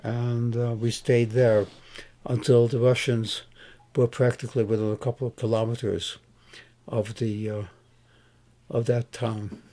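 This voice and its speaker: A man in his eighties from Hungary, recalling his past in a halting, casual way with many pauses and fillers. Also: mid-range voice, level tone, warm tone. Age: 80s. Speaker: interviewee grandfather. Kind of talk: casual recollection.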